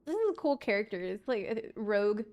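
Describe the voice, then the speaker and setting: A woman game streamer casually thinking aloud in a halting, puzzled way as she plays. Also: mid-range voice, sing-song, highs cut off, background noise. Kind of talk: casual live playthrough commentary and dialogue reading. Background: video game music.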